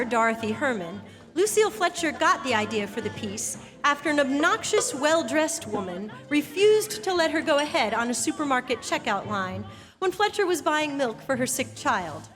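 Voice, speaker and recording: A woman host giving scripted narration from a theatre stage. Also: high voice. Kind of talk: scripted narration to a live audience. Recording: reverberant theatre with jazz underscoring. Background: jazz music.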